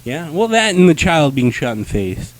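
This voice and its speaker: A man's voice: fairly high pitch, big pitch swings, moderate pace. A man hosting a casual comics-review chat, joking and slangy, with swearing. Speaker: podcast host reviewing comics.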